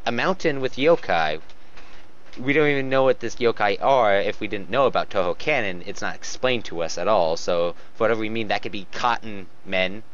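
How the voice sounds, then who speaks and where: Low-voiced man, muffled lo-fi sound. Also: lively intonation, fairly quick, fairly bright tone. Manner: often breaks into laughter and stumbles while reading. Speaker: co-host reading fan fiction aloud. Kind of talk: comedic read-aloud with mocking commentary. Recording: dull lo-fi home recording.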